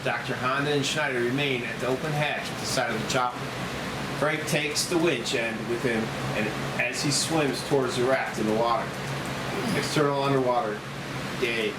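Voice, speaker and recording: A man reading screenplay stage directions as scripted narration, in measured descriptive phrases. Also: medium pitch, fairly even intonation. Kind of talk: scripted narration at a table read. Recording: roomy, distant mic, loud room hum.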